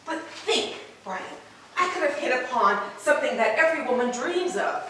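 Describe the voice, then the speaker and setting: A woman plays an earnest, rationalizing wife in a stage play rehearsal. Her fairly high voice moves expressively through theatrical, over-reasoned phrasing. The room is very echoey and she sounds far from the microphone. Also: animated, moderate pace, fairly bright tone. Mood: hopeful, rationalizing. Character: earnest, self-justifying wife calmly rationalizing her fantasy affair. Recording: distant, echoey room with background noise.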